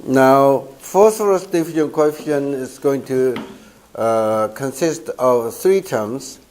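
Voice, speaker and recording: An older man with a Korean accent lectures in a slow, halting way, pausing on fillers as he works through his points. His voice is hoarse from a cold. He is picked up by a camera across a lecture room. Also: mid-range voice, a little mellow. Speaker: professor. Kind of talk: university lecture. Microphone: far camera.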